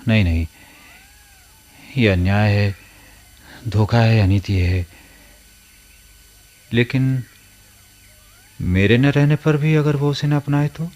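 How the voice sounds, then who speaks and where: A man's voice: mid-range voice, fairly even intonation, takes it slowly, pauses often, a little mellow, natural voice. A man acting an ardent but uneasy village lover in a Hindi radio play, theatrical and fervent. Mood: torn.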